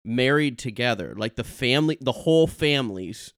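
A man co-hosting a podcast, asking halting, self-mocking questions. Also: mid-range voice, expressive, a bit bright, dry recording, studio quality.

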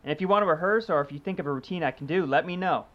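A man's voice: a little high, very fast, muffled recording, close to an everyday voice. A man playing a chatty, starstruck ghost-hunting sidekick with an eager, effusive way of talking, in an audio drama. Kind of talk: naturalistic audio drama dialogue.

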